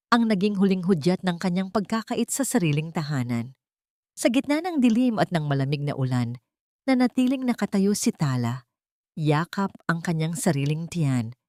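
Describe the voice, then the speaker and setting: A woman's voice: fairly low pitch, mellow, no room sound, clean studio sound. A woman narrating a dramatic scripted story in a solemn, emotive way.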